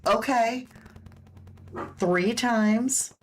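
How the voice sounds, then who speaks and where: Slow-talking, big-voiced woman livestream host. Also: a little high, slight background noise. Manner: chatty, greets viewers by name; says she has a big voice. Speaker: true crime livestream host. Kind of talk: casual live-stream commentary. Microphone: own microphone, pulled back after being too loud.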